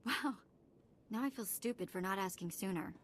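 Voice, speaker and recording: A woman's voice: mid-range voice, moderate pace, a bit bright, a little hiss or hum, close to an everyday voice. A woman playing a cheeky young female sidekick in a video game, casual and playfully sarcastic. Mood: playful, relieved. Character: wry, cheeky young female companion, freed slave. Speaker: voice actor in a game. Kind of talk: cinematic video game acting.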